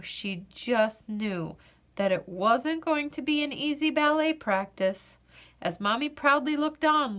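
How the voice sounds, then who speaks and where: Casting: female picture-book narrator, higher register, very unhurried delivery, dramatic pauses. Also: fairly bright tone. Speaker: read-aloud storyteller. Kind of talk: children's picture book narration, expressive and deliberate.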